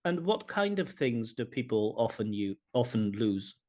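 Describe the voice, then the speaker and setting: A man hosting a practice speaking test, asking exam questions in a measured, formal way over a video call. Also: medium pitch, very animated, a little mellow. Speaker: IELTS examiner and channel host.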